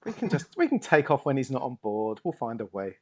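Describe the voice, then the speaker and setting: A man at the close of a live tabletop game stream, chuckling between lines. His voice sits at an ordinary middle pitch, and he trades quick jokes with his friends before thanking everyone for coming. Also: sing-song, dull audio. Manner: laughs often, warm sign-off thanks. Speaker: co-host and player. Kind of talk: casual end-of-stream chat.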